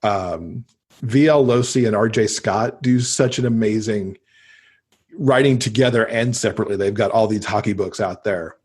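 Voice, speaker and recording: A man's voice: fairly low pitch, darkish tone, slightly rough. A man, middle-aged, talking casually and enthusiastically as a guest in an interview about books. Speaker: podcast guest, avid reader.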